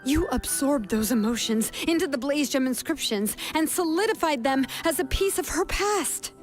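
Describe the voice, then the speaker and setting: A woman's voice: high-pitched, expressive, normal speed, faint music behind. A woman voicing a stern but compassionate young craftswoman, speaking earnestly and solemnly in a dramatic video game scene. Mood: stern, pained.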